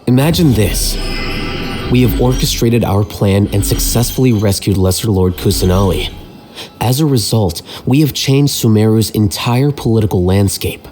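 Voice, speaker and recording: A man voicing a calculating young scholar in a video game, measured, aloof and matter-of-fact. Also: lowish voice, darkish tone, close to an everyday voice. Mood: composed, instructing. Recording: clear music behind the voice.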